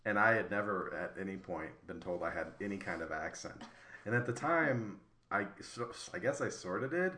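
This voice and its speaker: A man's voice: on the low side, animated, normal speed, pushed and bright, a little hiss or hum. A man from Wisconsin with a Wisconsin accent, hosting a casual podcast chat and joking as he steers the talk back. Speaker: podcast host. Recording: roomy echo.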